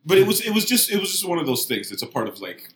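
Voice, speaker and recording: A man's voice: a little high, very animated, fast, a bit bright, roomy sound. A middle-aged man from Kenya, a podcast guest telling a casual rambling story with frequent laughs. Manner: rambling, laughs often between phrases.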